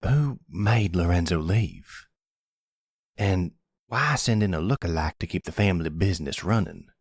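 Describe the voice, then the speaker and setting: Man acting deep, husky older mobster grandfather. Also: lively intonation, measured pace, dry recording, studio quality, exaggerated voice. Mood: insistent. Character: older mobster grandfather, steady, protective, probing. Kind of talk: audio roleplay drama.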